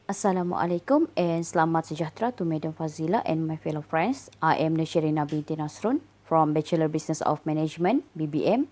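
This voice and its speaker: Woman in her twenties from Sabah, low dark voice, brisk and formal. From Sabah, Malaysia. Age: 20s. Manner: brisk formal self-introduction. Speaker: student.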